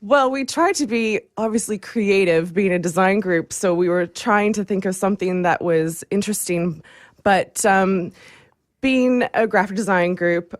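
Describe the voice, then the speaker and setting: A woman's voice: on the low side, no echo, studio quality. A young woman speaking conversationally as a guest in a radio interview. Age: young adult. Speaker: guest, graphic design entrepreneur.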